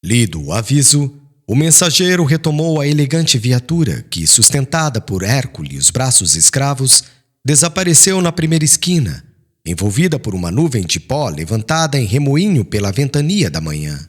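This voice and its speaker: A man giving a solemn, expressive, dramatic reading of a literary novel as an audiobook narrator. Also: medium pitch, normal speed.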